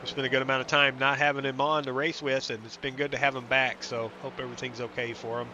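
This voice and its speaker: A man's voice: medium pitch, little pitch movement, fast, pushed and bright, muffled recording, slight background noise. Congested, nasal man calling a sim race. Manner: chatty play-by-play, reading out lap times. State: congested, under the weather. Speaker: sim racing commentator. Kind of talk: live race broadcast commentary.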